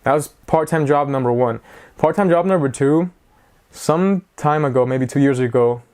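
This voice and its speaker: A man's voice: medium pitch, moderate pace, darkish tone. A man in his twenties giving a casual, rambling talk to the camera, chatty and self-mocking. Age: 20s. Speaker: vlogger.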